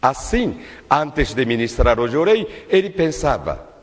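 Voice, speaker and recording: An older man preaching a sermon at a lectern in a large worship hall, in a slow, measured, emphatic delivery. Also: medium pitch, very animated. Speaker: minister giving a testimony-based sermon. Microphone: lectern microphone. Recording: long reverb of a large hall.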